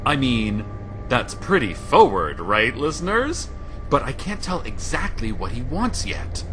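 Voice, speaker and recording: An actor playing a small-town community radio host, eerily calm and gossipy, with a deliberate, theatrical announcer's delivery in a scripted broadcast. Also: male voice, medium pitch. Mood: giddy, infatuated. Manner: deliberate, solemn announcer turning confiding and swoony. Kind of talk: scripted radio news narration, fictional broadcast. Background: music bed.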